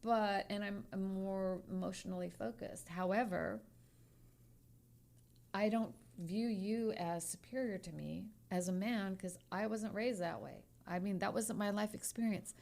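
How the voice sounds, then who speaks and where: A woman's voice: medium pitch, steady tone, measured pace, gravelly, a little mellow. A woman in her sixties, a lawyer co-hosting a podcast, talking frankly and emphatically and repeating phrases as she hunts for words. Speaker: podcast co-host, trial lawyer. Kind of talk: candid podcast conversation.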